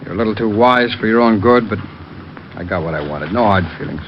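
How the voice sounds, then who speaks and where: A man's voice: fairly low pitch, lively intonation, brisk, a little hiss or hum. A man playing a smug, coolly threatening gunman in an old radio crime drama. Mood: coolly menacing. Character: smug, menacing male gunman. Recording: dull old radio recording with music.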